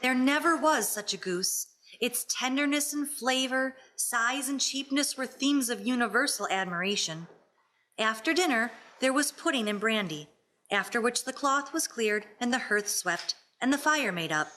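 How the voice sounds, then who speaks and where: A woman's voice: a little high, expressive, normal speed, bright, forceful tone, poor audio. A woman reading scripted story narration as a radio play narrator.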